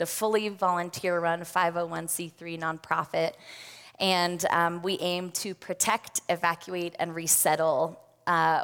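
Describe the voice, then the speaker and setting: Woman telling her story at church, kinda low voice, lots of ums, big echoey sanctuary. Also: smooth. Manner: earnest, conversational, many fillers. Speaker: guest speaker, nonprofit volunteer. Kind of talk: personal testimony at a church service. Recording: long hall reverb.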